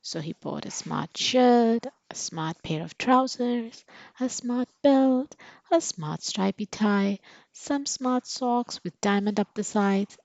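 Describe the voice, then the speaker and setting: A woman tells a children's story in a measured, expressive read-aloud style. She takes her time over each phrase. The sound is very dry and a little dull. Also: medium pitch, gravelly, dry recording.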